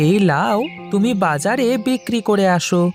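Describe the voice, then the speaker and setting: A woman's voice: medium pitch, expressive, moderate pace, soft background music, natural voice. A woman voicing a sweet, eager little village boy in a cartoon, childlike and earnest. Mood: proud, reassuring. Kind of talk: Bengali cartoon voice acting.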